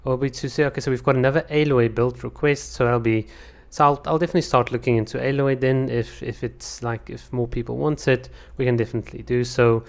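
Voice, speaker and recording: A man's voice: mid-range voice, steady tone, fast talker, gravelly. A man hosting his channel, reading out viewer comments and chatting about them casually, with lots of fillers and restarts; English is not his first language. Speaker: channel host.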